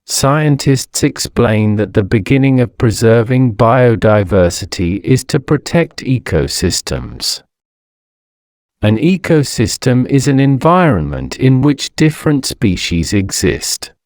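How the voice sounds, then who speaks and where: Slow, expressive male narrator. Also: medium pitch, clean tone, dry recording, studio quality. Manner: measured, deliberate enunciation with pauses between phrases. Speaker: narrator of an English-learning audio story. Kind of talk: scripted educational narration.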